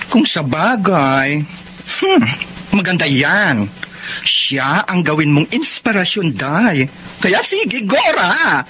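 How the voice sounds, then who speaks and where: A Filipino woman acting a sassy, teasing best friend in a radio drama, with exaggerated, slangy, drawn-out delivery. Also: on the low side, sing-song, fairly bright tone, slight background noise, full character voice. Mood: encouraging, playful. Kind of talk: Tagalog radio drama comic-relief acting.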